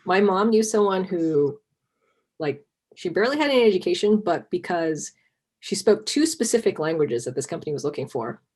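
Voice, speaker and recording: Low, husky-voiced woman guest, native Cantonese speaker, relaxed chat. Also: moderate pace. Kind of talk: casual interview chat.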